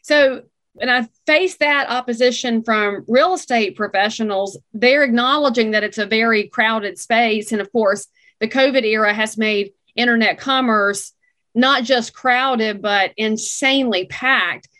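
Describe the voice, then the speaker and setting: A woman hosting a podcast, her voice sitting a little high. She talks in an animated, opinionated way as she interviews a guest. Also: a little slow. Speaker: podcast host.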